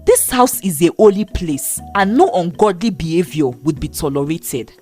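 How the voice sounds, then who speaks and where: A woman's voice: lowish voice, lively intonation, normal speed, a little mellow, soft background music. A woman voicing a strict, devout middle-aged aunt who scolds the young women of her house. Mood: stern.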